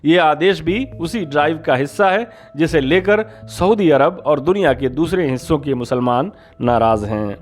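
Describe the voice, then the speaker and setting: A man presenting a scripted news explainer in a formal, measured manner. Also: on the high side, lively intonation. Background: background music.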